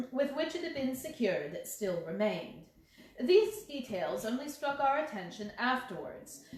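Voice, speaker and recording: Woman narrating in a very echoey room. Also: on the high side. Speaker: audiobook reader. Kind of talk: scripted storybook narration.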